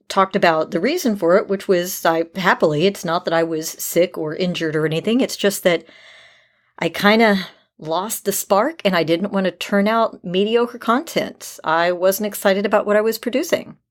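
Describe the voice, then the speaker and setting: A woman with a fairly low voice hosts a podcast in a conversational style. She is middle-aged and speaks candidly, with an upbeat, informal manner. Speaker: podcast host. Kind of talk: chatty podcast hosting.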